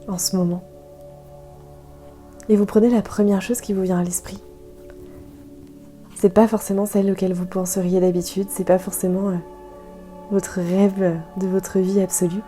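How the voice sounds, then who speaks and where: A woman guiding a yoga nidra meditation in a soft, calm, soothing way, leaving gentle pauses. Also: mid-range voice, faint music behind. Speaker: guided meditation instructor.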